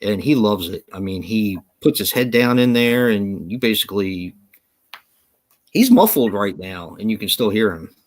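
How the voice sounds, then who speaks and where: A man's voice: fairly low pitch, animated, moderate pace. A man co-hosting a live show from home, talking in a rambling, easygoing way with many fillers and easy laughs. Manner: laughs easily. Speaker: podcast co-host. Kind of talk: casual live-stream chat.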